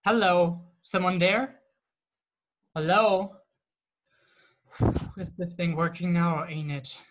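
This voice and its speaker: A man's voice: high-pitched, takes it slowly, background noise. A young man playing an impatient, old-fashioned gangster boss in a crime drama, slangy and grumbling into a laptop.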